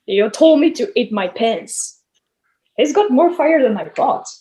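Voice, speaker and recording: A woman's voice: on the high side, animated, measured pace, mellow. A woman playing a grumpy, sarcastic male god who runs a camp, in a theatrical musical table read, blustering and dismissive.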